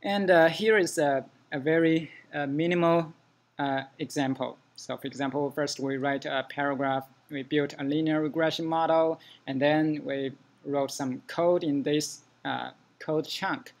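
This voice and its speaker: A young man from China with a Chinese accent, giving a modest, halting technical talk at a user group meeting. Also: a little high, steady tone. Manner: modest, hesitant restarts. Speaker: conference speaker. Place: meeting room.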